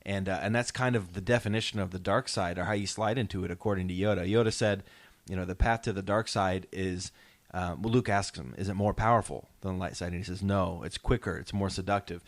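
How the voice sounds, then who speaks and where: Podcast host: man with a lowish voice, casual and explanatory, encouraging his co-hosts along. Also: fairly even intonation, brisk.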